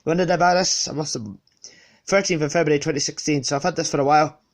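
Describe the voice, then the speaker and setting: A man's voice: fairly high pitch, fairly even intonation, fast. A man with a sniffly cold casually presenting his collection unscripted, hesitating and correcting himself as he goes. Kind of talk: casual unscripted show-and-tell.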